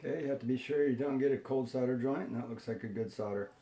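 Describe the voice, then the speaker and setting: A man presenting an unscripted hands-on how-to, talking in a halting, step-by-step way. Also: mid-range voice, warm tone, echoey room, slight background noise. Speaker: tutorial presenter.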